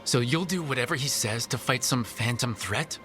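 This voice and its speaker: A man's voice: mid-range voice, moderate pace, a bit bright, lightly acted. Man as a sceptical, direct adventuring hero. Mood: challenging. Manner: questioning, direct, wry. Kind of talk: video game dialogue acting. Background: music.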